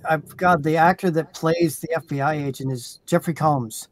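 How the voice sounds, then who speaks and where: A man's voice: fairly high pitch, steady tone, normal speed, slight background noise. A man chatting casually on a livestream in a teasing manner.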